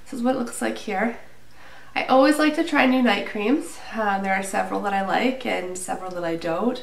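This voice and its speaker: A woman's voice: medium pitch, vocal fry. A woman with a heavy head cold talks to her camera in a casual, chatty way, with a stuffy, nasal tone and a slightly breathless delivery. The sound is roomy and a bit distant, as though the mic is across a small room. A faint hiss runs underneath her voice. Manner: chatty, with fillers and small laughs. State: a little out of breath. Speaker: beauty vlogger. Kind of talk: casual product review to camera. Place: small indoor room, plain wall.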